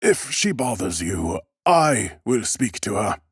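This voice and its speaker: A man's voice: fairly low pitch, very animated, slow, husky, no room sound, clean studio sound, full character voice. A man voicing a timid, humble bookseller in an audiobook, speaking with hesitant, very polite deference. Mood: apologetic, careful. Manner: hesitant, bowing politeness, stammering. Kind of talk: audiobook character acting.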